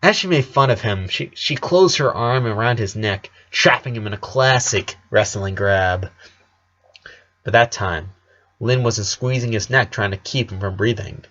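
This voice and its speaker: A man's voice: fairly low pitch, unhurried, a bit bright, highs cut off. A man reading a scripted story aloud as narrator. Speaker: story reader. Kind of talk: scripted narration of fan fiction.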